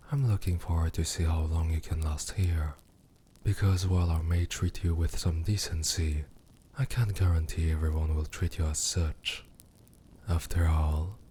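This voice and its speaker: An extremely deep, dark demon king played by a man speaks slowly and deliberately in an ASMR roleplay. He is cruel and possessive, with a condescending, coolly amused menace. The voice is mellow and breathy, over a faint low rumble. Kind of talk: scripted ASMR character roleplay.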